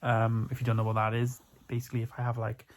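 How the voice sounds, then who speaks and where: A man's voice: completely flat delivery, fast talker. A young British man who sounds stuffed up from hay fever, chatting casually to camera at home as a vlogger, rambling with lots of fillers. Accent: British English. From United Kingdom. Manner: rambling, filler-heavy, low-key. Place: at home, indoors.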